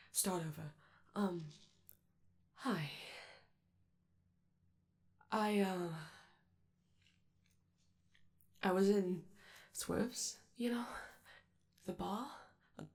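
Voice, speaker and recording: A woman playing a friendly, awkward young male robot in a soft ASMR roleplay, with a creaky voice and a hesitant, rambling, apologetic delivery. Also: fairly low pitch, drawn-out words, pauses often.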